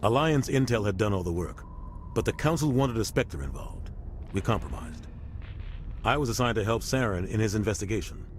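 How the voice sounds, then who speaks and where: Give the captain a low, grave voice, played by a man, middle-aged and dutiful, with weary honesty. He talks in an earnest, measured way, as in video game dialogue. Faint noise sits behind him. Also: lively intonation, natural voice. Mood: grave, recounting.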